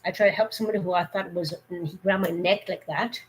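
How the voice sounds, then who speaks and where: A woman with a cold, her voice going hoarse, giving earnest safety warnings in a rambling, casual live stream. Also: on the low side, steady tone, fast talker, a little hiss or hum, rough recording.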